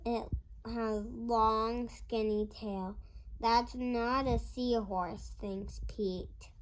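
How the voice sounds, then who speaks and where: A young girl reading a picture book aloud in a halting, word-by-word way. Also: on the high side, steady tone, poor audio. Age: child. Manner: halting, word-by-word early-reader delivery. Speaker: young reader.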